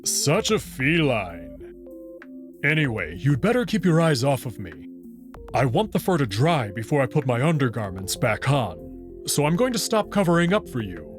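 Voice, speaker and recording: A man's voice: medium pitch, sing-song, moderate pace, faint music behind, close to an everyday voice. A man voicing a big, proud, teasing young male wolf in a visual novel. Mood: amused, casual. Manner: teasing, confident. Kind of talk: visual novel character voice acting.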